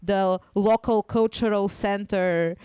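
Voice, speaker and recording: A woman's voice: medium pitch, takes it slowly, smooth, no echo, studio quality. A young woman from Bulgaria with a Bulgarian accent, chatting casually as a comedian guest on a podcast, joking and laughing often. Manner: laughing often, self-deprecating and animated. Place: podcast studio.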